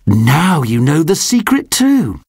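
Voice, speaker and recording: A reader voicing a kindly father dog speaking softly to his pup. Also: medium pitch, huge pitch swings, takes it slowly, gravelly, slightly rough, dry recording, clean studio sound, full character voice. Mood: gentle.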